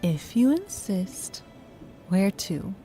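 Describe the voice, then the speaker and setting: Slow, mellow romantic woman professor. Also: mid-range voice, big pitch swings, faint music behind. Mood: tipsy, flirtatious. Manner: wordy, flowery, effusive. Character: tipsy romantic woman professor, secretive, tender lover. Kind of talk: naturalistic audio drama acting.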